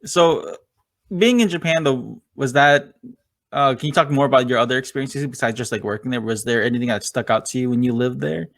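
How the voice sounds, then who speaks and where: A man hosting a casual podcast interview, feeling his way through questions with stops and restarts. He is from the United States. His voice sits at a plain middle pitch for a man. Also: gravelly, a bit bright. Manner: halting, searching questions with restarts. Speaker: podcast host.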